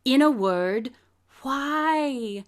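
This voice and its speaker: High, animated, self-assured lady detective. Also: drawn-out words, heavily acted. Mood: assured, expository. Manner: precise, theatrical, lecturing diction. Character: brilliant, coolly confident woman detective reasoning out a murder. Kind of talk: live audio drama acting.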